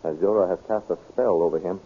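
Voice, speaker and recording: An actor playing a captive captain held as a palace slave in a 1930s radio adventure serial. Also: male voice, low-pitched, fast, breathy edge. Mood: anxious, bitter. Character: captive male captain slaving in an enemy palace kitchen. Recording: muffled tinny old radio recording.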